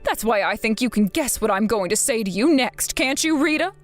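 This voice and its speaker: A woman voicing a manipulative, whining mother who pleads and wheedles in a high voice for money in a dramatized story. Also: animated, brisk, soft background music, close to an everyday voice.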